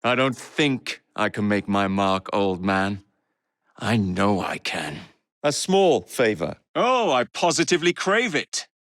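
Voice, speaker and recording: An actor with an English accent playing a cocky, roguish young Victorian gang leader in a video game, with a cheeky, wry, theatrical manner. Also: male voice, fairly low pitch, sing-song, slow pace, a bit bright, dry recording, clean studio sound, full character voice. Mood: playful, teasing.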